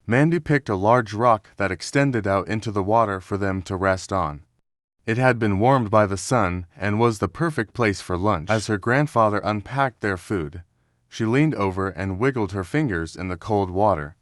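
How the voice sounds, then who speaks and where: A man reading a children's storybook aloud in a steady, clear way. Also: lowish voice, normal speed, dry recording, studio quality. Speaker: read-along narrator.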